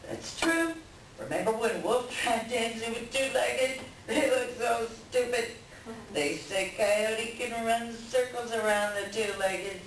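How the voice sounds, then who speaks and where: A woman's voice: a little high, slow. A woman voicing a brash, mocking young raptor in a readers theater, blunt and teasing. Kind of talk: readers theater stage reading. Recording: very echoey library room, distant, hissy.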